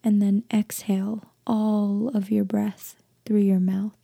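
A woman leading a guided meditation in a soft, breathy, half-whispered voice, gentle and deliberate, leaving long pauses. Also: mid-range voice, level tone, dry recording, clean studio sound. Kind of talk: guided meditation, slow soothing instruction.

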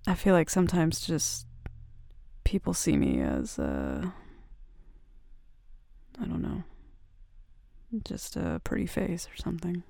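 A woman playing a gentle, touchy, vulnerable bad-girl roommate in an intimate ASMR roleplay, speaking softly and hesitantly into a close mic. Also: fairly low pitch, wildly swooping pitch, vocal fry, no echo, exaggerated voice. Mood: hurt, vulnerable. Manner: soft, hesitant, halting confiding talk. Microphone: close, dry microphone.

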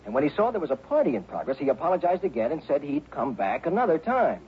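A man's voice: on the high side, sing-song, fast, slightly rough, heavily acted. An actor playing a harried, chatty American insurance company man in a 1960s radio drama, agitated and exasperated as he talks. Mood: animated, gossipy. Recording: muffled, hissy old radio broadcast.

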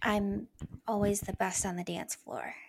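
A woman's voice: mid-range voice, steady tone, measured pace, gravelly, slight background noise. A young woman co-hosting a podcast, playful and easygoing in casual chat. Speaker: podcast co-host.

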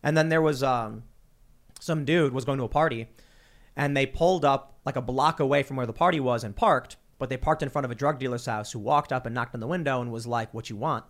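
Fast-talking male podcast host. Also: mid-range voice. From Chicago, USA. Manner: fast, animated storytelling with many restarts. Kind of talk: casual conversation.

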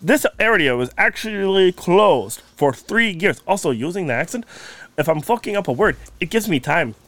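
A man co-hosting a casual podcast, joking around in a put-on Russian accent with slow, deliberate phrasing. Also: sits high, lively intonation, a bit bright, no echo. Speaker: podcast co-host.

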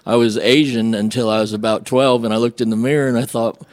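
An American man from Illinois speaking as a radio guest, telling personal stories in a casual, halting, folksy way. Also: mid-range voice, steady tone, no echo. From Illinois, United States.

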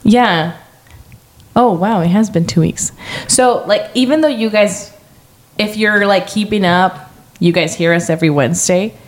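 A woman's voice: medium pitch, measured pace. Young woman cohosting a podcast, chatty, says like a lot, easy laughs. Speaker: podcast co-host. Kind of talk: casual chat.

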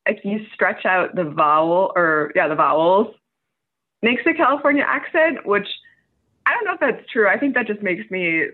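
A woman's voice: mid-range voice, expressive, normal speed. A woman in her twenties chatting casually and telling an amused story. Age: 20s. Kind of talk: casual chat. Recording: tinny phone-like line.

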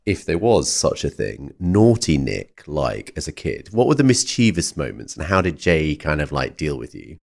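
A man hosting an interview, asking questions in a relaxed way and laughing easily. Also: extremely low pitch, moderate pace, creaky, few pauses. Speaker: interview host. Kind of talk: casual interview chat.

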